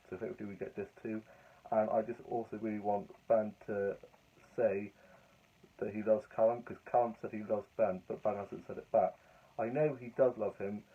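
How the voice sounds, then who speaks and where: A young man with a hoarse, croaky voice talks on and on in a cheerful, rambling way. His voice sits low and flat, with little rise and fall. The sound is thin and tinny, like a phone recording. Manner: chatty, rambling, upbeat. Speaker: vlogger. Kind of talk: casual vlog chat.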